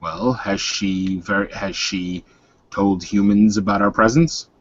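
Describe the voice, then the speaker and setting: A man voicing a serene, scheming werewolf leader in a tabletop game, with deliberate, emphatic delivery. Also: fairly low pitch, fairly even intonation, dull audio. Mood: argumentative.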